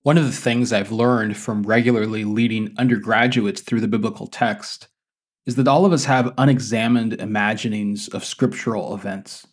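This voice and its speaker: Measured, articulate male podcast host. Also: fairly low pitch, steady tone. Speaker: university teacher. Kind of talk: scripted podcast introduction, then conversational interviewing.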